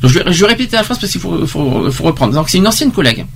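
The man you would hear hosting a small local radio talk show, high-voiced, circling back on his words, noise humming behind him. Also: very animated, a bit bright. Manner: halting, repeats himself emphatically. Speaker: radio host. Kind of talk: informal radio discussion with read-out testimonies. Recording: clear background noise.

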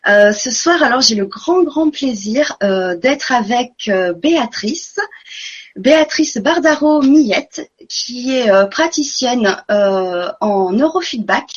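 Woman webinar host, husky voice, lo-fi stream sound, earnest and emphatic. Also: fairly high pitch, lively intonation, slow. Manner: warm and earnest, doubles words for emphasis. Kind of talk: live online conference hosting.

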